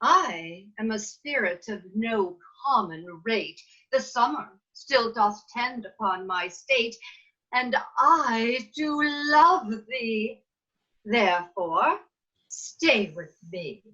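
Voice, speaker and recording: Woman as a doting, commanding fairy queen, slow stately verse, high voice, table read, dull video-call sound. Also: expressive, bright, forceful tone, breathy edge, full character voice. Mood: lovestruck. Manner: theatrical, measured verse delivery. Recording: muffled video call audio.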